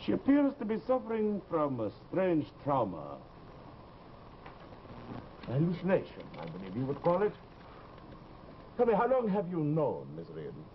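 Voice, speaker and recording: A man's voice: fairly high pitch, huge pitch swings, moderate pace, long pauses. Formal middle-aged male doctor, hesitant, lilting delivery, 1950s TV drama, noisy soundtrack. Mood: formal, guarded. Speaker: actor. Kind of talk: 1950s live television drama acting. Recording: dull, noisy old TV soundtrack.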